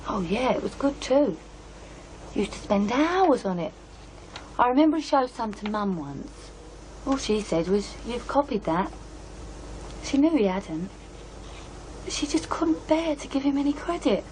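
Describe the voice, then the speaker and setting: A woman's voice: fairly high pitch, sing-song, a little slow, long pauses, a little mellow, slight background noise, poor audio. An actress playing a guarded, matter-of-fact young working-class woman with a British accent and blunt colloquial speech in an audio drama. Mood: bitter, matter-of-fact. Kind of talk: radio drama dialogue.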